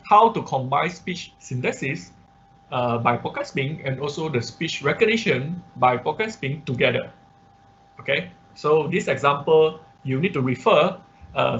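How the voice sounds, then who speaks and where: A man instructing an online class through a live software demonstration, talking haltingly as he thinks aloud. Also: fairly high pitch. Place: indoors, online class at a computer. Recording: muffled online class audio with background noise.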